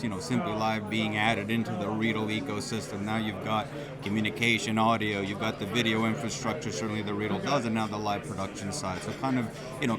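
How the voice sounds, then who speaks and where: Male company rep, lowish and very even, conversational and wordy, loud crowd around. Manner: wordy, frequent "you know". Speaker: company representative, interviewee. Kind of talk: conversational product pitch. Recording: loud crowd noise behind.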